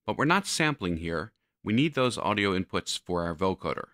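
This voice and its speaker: A man narrating a software tutorial, explaining step by step like an instructor. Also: low-pitched, sing-song, moderate pace, dry recording, clean studio sound.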